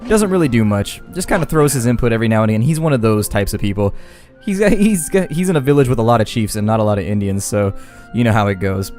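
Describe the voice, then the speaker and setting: A man's voice: fairly low pitch, expressive, quick pace, a little mellow. A man giving casual, chatty let's-play commentary on a game, with folksy slangy phrasing. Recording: game music behind the voice. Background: game music.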